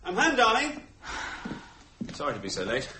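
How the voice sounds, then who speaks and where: An actor playing a breezy, glib husband with a jovial, flippant manner in a radio play. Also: male voice, high-pitched, wildly swooping pitch, normal speed, noisy background. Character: breezy, glib husband smoothly explaining himself.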